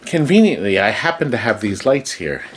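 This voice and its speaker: A man hosting a casual home-visit vlog, chatting in an animated way and asking lots of curious questions. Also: fairly low pitch, normal speed, slight background noise. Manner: animated, inquisitive. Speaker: host filming a visit. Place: inside a home.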